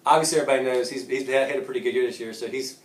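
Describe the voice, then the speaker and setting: A man's voice: medium pitch, fairly even intonation, racing, echoey room, distant mic. Laughing joking man in his thirties. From Savannah, Georgia. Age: 30s. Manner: joking, laughing, rambling with restarts. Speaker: golfer. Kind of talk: casual interview answers.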